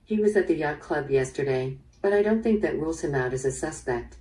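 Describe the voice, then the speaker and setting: Female police interrogator: low, dark voice, businesslike and probing, radio-drama style, a bit off-mic. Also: expressive, fairly quick. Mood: probing, measured. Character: probing female police detective questioning a murder suspect. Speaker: voice actor in interactive audio drama. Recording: played through speaker, slightly distant.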